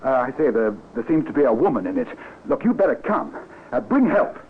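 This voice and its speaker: An actor playing a flustered, stammering, polite gentleman in an old-time radio drama, on a scratchy, tinny recording. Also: mid-range voice, very animated, a little fast, natural voice. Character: nervous, polite British gentleman, eager to oblige.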